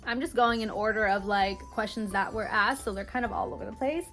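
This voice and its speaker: A young American woman in her mid-twenties talks to her viewers in a casual question-and-answer vlog. Her voice sits at an average pitch for a woman. She speaks informally with girly slang, and soft music plays behind her. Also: brisk. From Hawaii, United States. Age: mid-20s. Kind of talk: casual chatty Q&A to camera.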